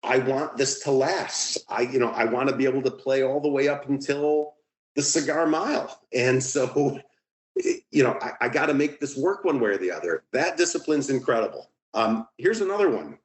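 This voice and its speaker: A middle-aged man giving casual, emphatic advice in a conversational interview style, as a podcast guest. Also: medium pitch. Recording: roomy, echoing sound.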